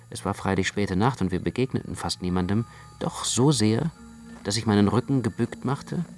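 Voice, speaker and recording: A man narrating a radio play in the first person as an anxious, brooding literary storyteller. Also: lowish voice.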